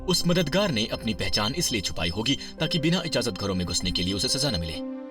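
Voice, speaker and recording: A man's voice: fairly low pitch, big pitch swings, fairly quick. A man voicing a formal, literal-minded rescue robot in a cartoon dub. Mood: matter-of-fact. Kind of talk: animated series dub. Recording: clear music behind the voice. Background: music.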